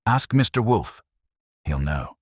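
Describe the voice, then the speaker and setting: A man's voice: fairly low pitch, sing-song, slow, husky, no room sound, clean studio sound, pitched above the performer's own voice, full character voice. A man voicing a reluctant, defensive woman witness, guarded and snappy, in a detective audiobook. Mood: snappish. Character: defensive, reluctant, sharp-eyed female witness under questioning.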